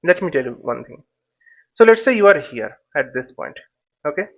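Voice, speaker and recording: A man giving an explanatory tutorial as an instructor, thinking aloud in a halting, repetitive way and often checking in with short tags. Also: a little high, sing-song, lo-fi sound. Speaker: flight simulation instructor.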